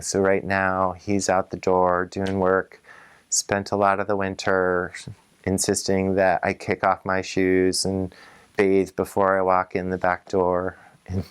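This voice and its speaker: A woman reflecting in a personal interview in a measured, thoughtful way, choosing words carefully. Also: extremely low pitch, smooth. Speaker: local resident interviewee.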